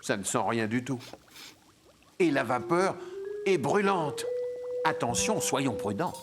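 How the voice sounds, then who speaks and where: A man narrating a children's cartoon in a playful, expressive storytelling manner. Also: medium pitch, slow pace. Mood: playful, curious.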